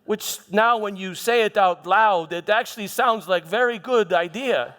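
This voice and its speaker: A man playing a proud, vengeful Roman governor in a theatrical monologue, speaking emphatically with halting repetitions and dramatic pauses. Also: high voice, a bit bright. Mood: gloating, wry. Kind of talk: dramatic first-person monologue in church. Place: church hall, live performance. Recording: echoey hall with background music.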